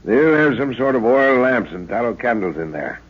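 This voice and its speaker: An actor playing a thoughtful middle-aged professor who leads an expedition, speaking in a measured, hesitant way in a 1930s radio drama. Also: male voice, medium pitch, sing-song. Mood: calm, resolute. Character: scholarly middle-aged male professor, calm leader. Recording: dull lo-fi old radio recording.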